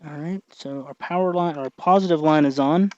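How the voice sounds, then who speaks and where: A man narrating a casual hands-on tutorial, thinking aloud in a halting way as he works. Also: on the high side, darkish tone, dry recording, muffled recording. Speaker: tutorial host.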